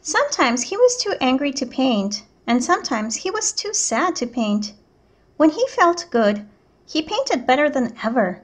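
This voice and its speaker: High-voiced woman reading a children's book. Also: very animated, a little slow. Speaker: teacher reading a picture book aloud. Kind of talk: children's read-aloud narration.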